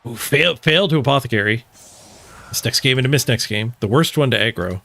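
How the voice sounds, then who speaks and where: A man's voice: mid-range voice, a little fast, vocal fry, bright, forceful tone. A man streaming a video game, giving casual, playful live commentary as he thinks his moves through aloud.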